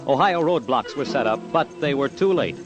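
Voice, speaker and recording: Dramatic old-radio narrator, man over loud music. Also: mid-range voice, expressive, moderate pace. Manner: terse, emphatic, dramatic delivery. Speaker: narrator of radio crime series. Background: dramatic musical underscore.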